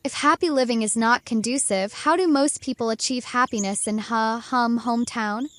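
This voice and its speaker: A woman's voice: on the high side, normal speed, a bit bright, no echo, lightly acted. A woman playing a naive, curious young stranger from a hidden world, formal and stilted, in a dramatized comic. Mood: puzzled.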